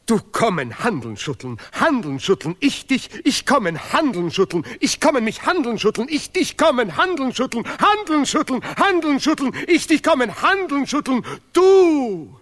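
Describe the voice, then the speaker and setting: A man's voice: very high-pitched, big pitch swings, a bit bright, exaggerated voice. A man playing a self-important laureate professor in a radio play, declaiming obsessively repeated broken German. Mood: excited. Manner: declamatory, obsessively repetitive, deliberately ungrammatical.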